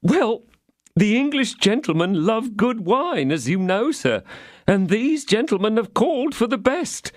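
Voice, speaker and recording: A man voicing an anxious, fussy innkeeper who whines and pleads in a high, strained voice. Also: big pitch swings, unhurried, no echo, studio quality. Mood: fretful, pleading. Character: anxious wailing male innkeeper, fussy and fretful.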